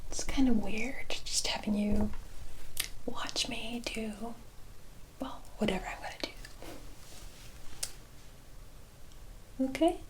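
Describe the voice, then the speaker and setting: A woman playing a mysterious, soothing fortune-telling forest witch in an ASMR roleplay, speaking in a breathy whisper with deliberate, drawn-out phrasing. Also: on the high side, natural voice, low-quality recording. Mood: playful, inviting. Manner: slow, deliberate, drawn-out phrasing with incantation-like repetition.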